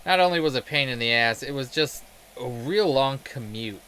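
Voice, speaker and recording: A man's voice: mid-range voice, animated, moderate pace, pushed and bright, clear, resonant tone. A man in a casual, rambling game commentary, sweary, self-deprecating and sarcastic. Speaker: game streamer telling stories while playing.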